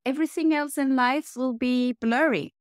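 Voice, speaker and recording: A middle-aged woman from Iran, raised in Norway, talks through interview questions with a smooth, resonant voice. She sounds lively and thoughtful and lists examples one after another. The recording is dry and close. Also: high voice, moderate pace, no room sound. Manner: lively and reflective, lists things in runs. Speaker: interview guest, tech executive. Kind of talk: casual interview answers.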